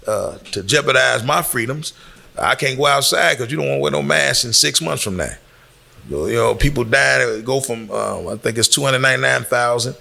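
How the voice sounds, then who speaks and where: A man's voice: mid-range voice, vocal fry, sharp tone. An American man giving an informal, admonishing exhortation as a community speaker, emphatic and fond of rhetorical questions.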